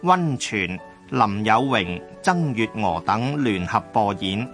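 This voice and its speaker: A man's voice: on the low side, animated, takes it slowly, fairly bright tone, soft background music. A man with a Hong Kong Cantonese accent narrating a scripted history radio drama.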